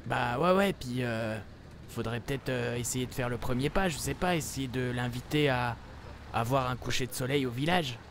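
A man's voice: medium pitch, normal speed, gravelly. Hesitant young man over faint engine noise. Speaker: livestreamer playing a roleplay game. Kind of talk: improvised in-character roleplay chat.

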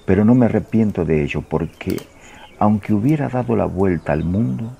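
A man's voice: on the low side, normal speed, creaky, mellow, slight background noise. An actor playing a plain-spoken middle-aged fisherman, folksy, chatty and fond, in a religious radio drama. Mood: fond, reminiscing.

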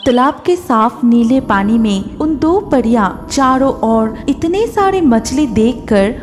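High-pitched, slow woman storyteller. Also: lively intonation, a little mellow, a little hiss or hum. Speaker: narrator. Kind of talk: scripted children's story narration.

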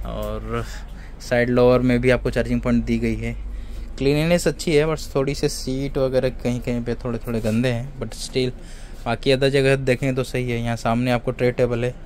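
A man's voice: flat delivery, normal speed, darkish tone. A man, chatting casually as a travel vlogger on a handheld phone inside a train compartment. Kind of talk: casual vlog chat mixing Hindi and English. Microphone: handheld phone at arm's length. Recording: noisy train carriage with vehicle rumble.

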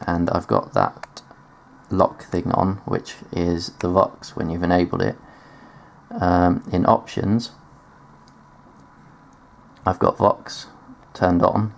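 A man's voice: sits low, vocal fry. A man giving a casual tech demonstration in a matter-of-fact, explanatory way, presenting gear on video indoors. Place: indoors at a desk.

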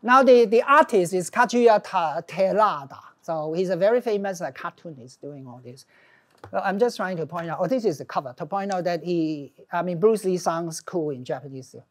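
A middle-aged man speaks with a Hong Kong accent in the manner of a professor addressing a class. His delivery is informal and animated, breaking into emphasis as he explains. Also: high-pitched, normal speed. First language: Cantonese. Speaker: professor, lecturer. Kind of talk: informal academic lecture. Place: classroom with small audience, indoors.

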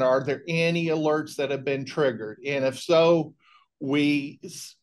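A middle-aged man giving a technical training presentation on a video call, explaining in a measured way. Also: on the high side. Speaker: technical trainer, remote monitoring specialist. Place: indoor room on a video call.

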